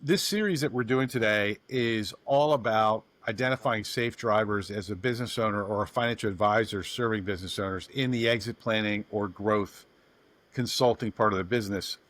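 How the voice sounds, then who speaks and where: A man's voice: medium pitch, fairly bright tone, no echo. A man hosting a podcast in a folksy, rambling way, introducing his guests. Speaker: podcast host.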